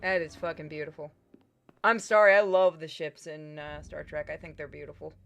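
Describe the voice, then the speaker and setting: A man's voice: fairly high pitch, level tone, moderate pace, gravelly, fairly bright tone. A man streaming a game with casual commentary, blunt, sweary and opinionated. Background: game music.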